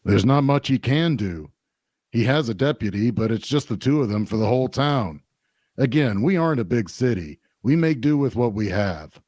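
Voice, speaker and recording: Obliging, plain-spoken male tavern keeper voiced by a man, folksy and unhurried, mid-pitched, very dry sound. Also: lively intonation, darkish tone, no room sound, lightly acted. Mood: grave, confiding. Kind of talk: audio drama table-read dialogue.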